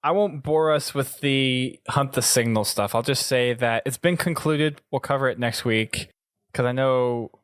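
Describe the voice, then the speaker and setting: A man's voice: mid-range voice, a little slow, clean tone, dry recording, clean studio sound. A man from the United States hosting a casual gaming podcast in a conversational way. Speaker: podcast host.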